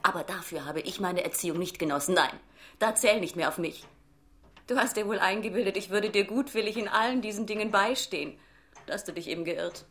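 An actress playing a nagging, self-centred wife in a German radio play, with a haughty, reproachful manner. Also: female voice, mid-range voice, fairly quick, fairly bright tone, lightly acted. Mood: scornful reproach.

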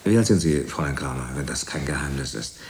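An actor playing a jovial, flattering theatre director who talks down to a beginner with hesitant pauses and chuckles, in radio drama style. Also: male voice, a bass voice, a little hiss or hum. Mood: flattering, paternal. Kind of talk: German radio drama acting.

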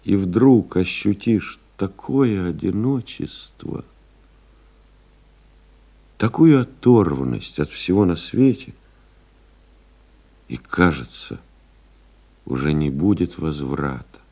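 An actor playing a reflective male pilot in an audio play, delivering a measured, contemplative literary monologue. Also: low-pitched, lively intonation, warm tone, breathy edge, heavily acted. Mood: reminiscing. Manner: measured, contemplative, deliberate phrasing. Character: reflective male French pilot recalling his flights. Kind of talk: dramatic literary monologue, scripted narration.

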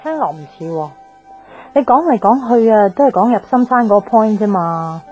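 A woman's voice: mid-range voice, lively intonation, normal speed, darkish tone, faint music behind, close to an everyday voice. An actress playing a frank, upbeat, stubborn young woman in a Cantonese radio drama, with a Hong Kong accent and a direct, casual manner. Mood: defensive, challenging. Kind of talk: naturalistic radio drama acting.

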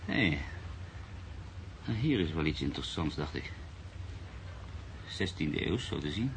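A man acting in a radio drama as a brooding, sceptical storyteller, husky and gravelly, with a measured, literary, pensive delivery. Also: very deep voice, very animated, slight background noise, full character voice. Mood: casual, curious. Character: brooding, sceptical man narrating his own uneasy story. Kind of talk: radio drama narration and dialogue.

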